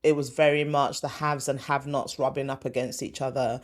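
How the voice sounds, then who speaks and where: A woman's voice: very deep voice, a little fast. Emphatic woman telling her story. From born in Ghana, raised in West London. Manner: emphatic and animated, acts out quotes. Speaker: guest, former TV comedy commissioner. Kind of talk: conversational interview answer.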